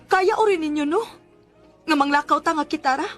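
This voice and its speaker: Radio drama: caring young elder sister, played by a woman, high-pitched, insistent, light music underneath. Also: a little fast, close to an everyday voice. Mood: indignant confrontation. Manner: earnest, insistent. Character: caring, protective older sister who challenges her parents. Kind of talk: Bisaya radio drama acting.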